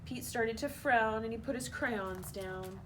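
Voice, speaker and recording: A woman hosting a children's storytime, reading a picture book aloud in an expressive, playful way. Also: fairly high pitch, a little slow, background noise. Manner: animated, expressive, playful asides. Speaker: children's storytime host at a library.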